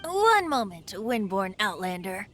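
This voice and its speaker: A woman's voice: medium pitch, sing-song, measured pace, fairly bright tone. A woman voicing a youthful, boyish bard who is secretly an ancient wind god, breezy and theatrical, in video game dialogue. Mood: playful. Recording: background music under the voice. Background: music.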